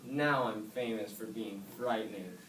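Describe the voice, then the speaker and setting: A man's voice: lowish voice, a little slow. A man voicing Oscar, a small, eager young male snail who boasts and frets, heard from far off in an echoey room. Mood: sad. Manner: excitable, boastful, then tearful. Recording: echoey room, mic far off, faint hiss.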